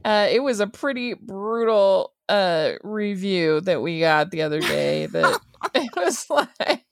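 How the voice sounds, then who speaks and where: A woman's voice: mid-range voice, very animated, slow pace, no echo, clean studio sound. A woman co-hosting a casual TV recap chat, with a mixed American accent and a chatty manner, laughing often and trailing off mid-thought. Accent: mixed American, hints of East Coast and Utah. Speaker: podcast co-host.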